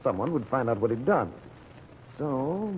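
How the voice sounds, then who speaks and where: Confident radio-serial adventurer, tinny recording. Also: male voice, medium pitch, sing-song, unhurried, slight background noise. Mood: excited deduction. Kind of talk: old-time radio serial acting.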